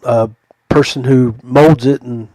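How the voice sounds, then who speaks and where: A man's voice: mid-range voice, fairly even intonation, a little slow, dark tone, low-quality recording. An American man hosting a podcast, chatting casually with his guest. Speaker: podcast host. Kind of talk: casual interview chat.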